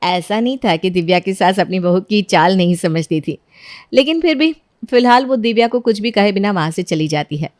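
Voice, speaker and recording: A woman narrating a scripted family story in an even storytelling manner. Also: mid-range voice, moderate pace, no room sound, studio quality.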